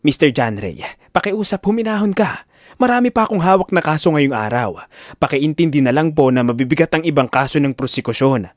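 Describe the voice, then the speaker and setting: A man's voice: a little high, animated, a little fast, no room sound, studio quality, lightly acted. Man playing a cold, weary public defender. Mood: impatient. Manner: curt, impatient, detached.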